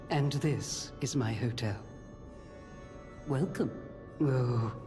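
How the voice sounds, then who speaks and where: A woman playing a gracious, composed older hotel owner in a video game, measured and wry. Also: low-pitched, heavily acted. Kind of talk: video game character acting.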